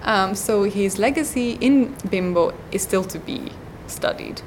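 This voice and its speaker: A woman guest on an academic interview, explaining at length and pausing often with ums and uhs. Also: mid-range voice, animated, slight background noise, poor audio. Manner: hesitant, many ums and uhs. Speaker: guest scholar.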